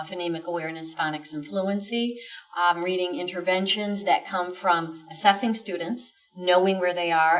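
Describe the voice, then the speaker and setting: A woman with a fairly low voice explains things on a panel as an education official. Her delivery is measured, formal and methodical, picked up from slightly away from the microphone. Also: recorded from a distance, rough recording. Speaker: school district director, guest.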